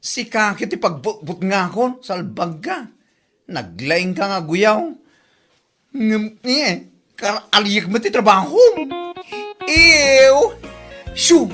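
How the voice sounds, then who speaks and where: A man acts out a gossipy, mocking busybody for a radio comedy drama. The voice sits high and swings wildly up and down. The delivery is theatrical, teasing and full of exclamations. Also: lively intonation, takes it slowly, long pauses, bright, forceful tone, soft background music, heavily acted. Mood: indignant disgust.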